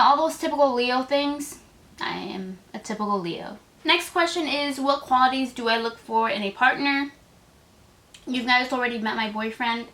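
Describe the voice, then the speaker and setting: A woman's voice: a little high, a bit bright. An eighteen-year-old woman chatting to camera in a casual vlog, gushing and full of "like" fillers. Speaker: vlogger. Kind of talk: casual tag video chat to camera.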